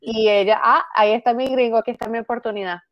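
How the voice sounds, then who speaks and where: A woman's voice: on the high side, steady tone, quick pace, no echo, highs cut off. A young woman with a Venezuelan accent, guesting in a casual interview over a laptop video call, telling her story with frequent laughs and some stumbles for words. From United States, raised in Venezuela. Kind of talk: casual interview chat, Spanglish.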